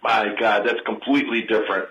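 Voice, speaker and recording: A man's voice: lowish voice, steady tone, fairly quick, a bit bright, poor audio. A man speaking as a caller on a live show, chatting casually and agreeably. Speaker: phone-in caller. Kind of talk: casual chat.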